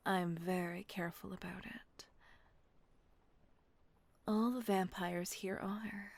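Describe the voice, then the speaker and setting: A woman voicing a gentle, possessive female vampire mistress in a whispered audio roleplay, slow and coaxing. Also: medium pitch, full character voice. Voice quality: breathy whisper. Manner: slow, coaxing, softly reassuring.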